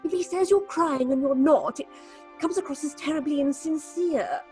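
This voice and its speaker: A woman's voice: high-pitched, normal speed, mellow, soft background music, close to an everyday voice. A woman playing an exacting, condescending theatre director in an audio drama, grandly theatrical with her darlings and dears. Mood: exasperated, scolding. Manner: condescending, theatrical, calls people darling and dear. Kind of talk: dramatic audio fiction acting.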